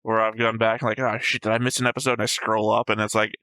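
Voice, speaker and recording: A man's voice: on the low side, little pitch movement, fast talker, bright, forceful tone, smooth, no echo. A sleep-deprived man co-hosting an anime discussion show, casually going over notes, arguing points and restating himself. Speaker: co-host of an anime reaction show.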